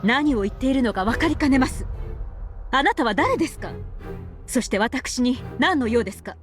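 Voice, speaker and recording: A woman's voice: sits high, lively intonation, fast. A woman playing a stern, proper married lady in a visual novel, speaking in a formal, clipped, demanding way. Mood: cold, demanding. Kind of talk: Japanese visual novel voice acting. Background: background music.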